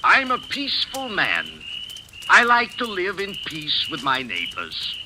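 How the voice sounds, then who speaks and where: A man playing a formal, defiant professor in an old-time radio mystery, speaking theatrically and deliberately on a noisy old recording. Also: high voice, huge pitch swings, heavily acted. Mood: indignant. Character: theatrical, defiant older male professor staging an illusion.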